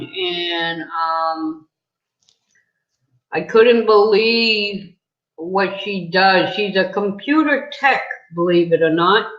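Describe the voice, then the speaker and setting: A middle-aged woman from New England chatting casually and candidly on a livestream, telling personal stories in a rambling way. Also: medium pitch. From New England, United States. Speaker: livestream host and interviewer. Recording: roomy, echoey, muffled lo-fi audio.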